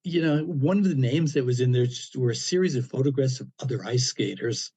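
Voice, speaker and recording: A man around seventy, a podcast guest, telling a personal story in a relaxed, anecdotal way with frequent "you know" fillers and dry humor. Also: mid-range voice, fairly quick, breathy edge. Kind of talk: casual storytelling interview.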